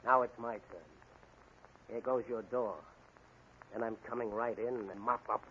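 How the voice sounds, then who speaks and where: An actor playing a bold, swaggering crime-fighting hero in a 1940s radio serial, with an American accent and a theatrical delivery. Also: male voice, mid-range voice, steady tone, moderate pace, pushed and bright, close to an everyday voice. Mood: taunting, defiant. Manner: theatrical, taunting, declamatory. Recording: muffled, noisy vintage 1940 radio recording.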